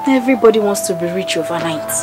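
A woman's voice: medium pitch, animated, fast talker, loud music behind the voice, close to an everyday voice. A woman playing a weary, distressed young mother in a film drama, with a Nigerian accent and a sighing, lamenting manner. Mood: weary, resigned. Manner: sighing, lamenting, emphatic repetition. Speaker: actor.

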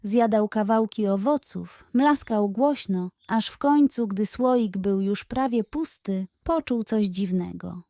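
A woman's voice: medium pitch, takes it slowly, hardly any pauses, warm tone, no echo, clean studio sound. A woman storyteller reading a scripted bedtime story for children.

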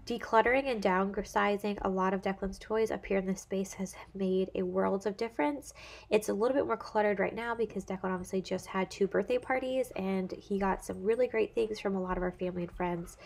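A woman's voice: mid-range voice, a little fast, gravelly. A woman in her thirties, a home vlogger, chatting casually and filling her talk with "like" and "honestly". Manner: chatty, relaxed, many "like" and "honestly" fillers. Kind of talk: casual chat.

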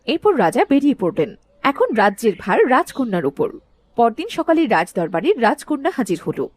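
A woman's voice: a little high, sing-song, normal speed, darkish tone. A woman narrating a cartoon fairy tale in a scripted storytelling style.

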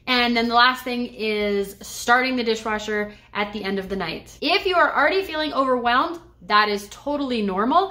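A woman's voice: a little high, sharp tone. A woman in her thirties, a home cleaning vlogger, talking in a chatty, upbeat, encouraging way to the camera from her bedroom. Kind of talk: casual chat to camera and voice-over.

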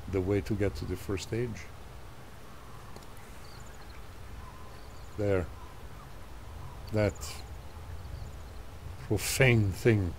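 An actor playing a solemn, stern old guide in a video game, speaking gravely and theatrically with halting, weighty pauses. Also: male voice, on the low side, a little mellow, a little hiss or hum. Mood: grave, brooding. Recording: music behind the voice.

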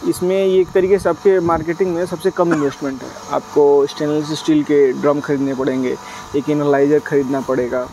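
Man with a high voice, explaining at length. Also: flat delivery, a little fast, mellow, a little hiss or hum, poor audio. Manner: explains at length with frequent "uh" fillers and English business terms. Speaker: dairy business partner. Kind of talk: casual interview answers. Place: outdoors at night beside a delivery van.

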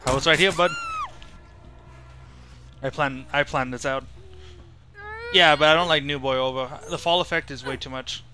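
A man's voice: medium pitch, steady tone, normal speed, pauses often, bright, forceful tone. A young man giving casual, rambling, opinionated live commentary as he streams a video game. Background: horror video game sound.

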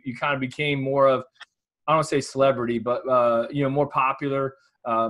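A man in his forties hosting an interview over a video call, asking halting questions that are full of restarts. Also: medium pitch, fairly bright tone. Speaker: interview host. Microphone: webcam on a video call.

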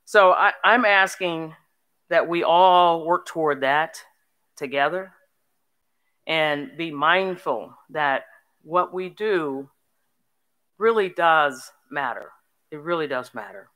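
An older woman giving a formal, inspirational commencement speech in an earnest, emphatic manner with deliberate phrasing. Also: low voice, a bit bright. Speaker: commencement speaker. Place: on stage in a large hall at a graduation ceremony. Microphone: podium microphone.

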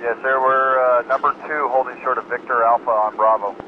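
A man flying as an airline pilot, giving quick, clipped readbacks to the ground controller over the radio. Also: medium pitch, steady tone, narrow, tinny audio. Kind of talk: air traffic control radio. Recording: noisy radio transmission.

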